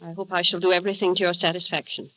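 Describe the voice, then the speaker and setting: An actress playing a cold, stiffly formal housekeeper of a grand house in a 1930s radio drama. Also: female voice, on the low side, little pitch movement, rapid-fire, pushed and bright, lo-fi sound.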